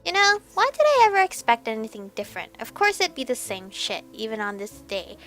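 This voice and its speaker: High, bright-voiced woman reading aloud. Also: brisk. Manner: animated, reacting and stumbling over words. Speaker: gamer reading a visual novel aloud for a video. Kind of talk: expressive read-aloud narration with asides. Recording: background music behind voice. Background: game music.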